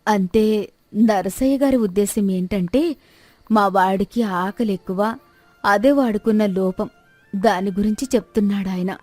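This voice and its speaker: A woman's voice: medium pitch, a little slow, long pauses, warm tone, dry recording, soft background music, close to an everyday voice. A woman voicing a commanding, scheming village woman in a story. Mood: smoothly covering up. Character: bossy, scheming village woman covering for her man.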